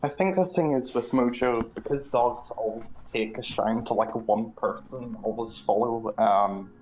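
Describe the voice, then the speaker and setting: A man's voice: medium pitch, measured pace, a little mellow, dull audio, a little hiss or hum. A man in his twenties in casual conversation, laid-back with short replies. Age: 20s. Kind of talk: casual chat.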